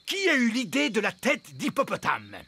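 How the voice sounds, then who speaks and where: Pompous, animated cartoon king. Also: high-pitched, moderate pace, vocal fry, a little hiss or hum, full character voice. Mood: dismayed. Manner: pompous, exclamatory.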